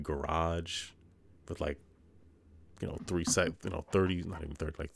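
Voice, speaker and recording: A man's voice: a bass voice, gravelly, dry recording. A man chatting casually as a podcast host, rambling through game reviews with joking asides.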